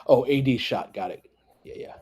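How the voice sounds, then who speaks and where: Husky guy calling a hoops game on a stream, casual, short bursts, chatting w listeners. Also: medium pitch, moderate pace, a little mellow. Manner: conversational, off-the-cuff, talking in short clipped bursts. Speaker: sports livestream host doing fan play-by-play. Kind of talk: casual running basketball commentary, chatting with listeners.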